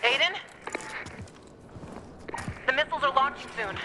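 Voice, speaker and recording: A blunt, tough young fighter. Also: female voice, sits high, unhurried, over music. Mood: impatient. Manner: urgent, blunt, swearing. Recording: noisy game cutscene audio.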